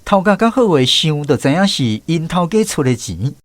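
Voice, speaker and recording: A man's voice: a little high, very animated, moderate pace, dry recording. A man with a Taiwanese accent giving scripted storytelling narration for a radio drama.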